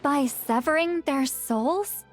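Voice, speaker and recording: High-voiced, inquisitive young shaman. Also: slow pace, soft background music. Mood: puzzled, thoughtful. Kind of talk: video game voice acting.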